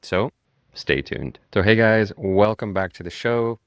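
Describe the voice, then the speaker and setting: A man with a very deep voice hosts a motivational self-help show. He speaks in a calm, earnest and encouraging way, and his throat sounds a little rough because he is getting over a cold. The recording is dry and close, with almost no room sound. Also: measured pace, no room sound. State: recovering from a cold, sore throat. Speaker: podcast host. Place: traveling, recording on location.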